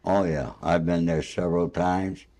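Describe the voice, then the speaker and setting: Low-voiced older man, rambling casual interviewer. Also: steady tone, normal speed, dark tone. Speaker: interviewer and host. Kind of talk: spontaneous casual conversational interview.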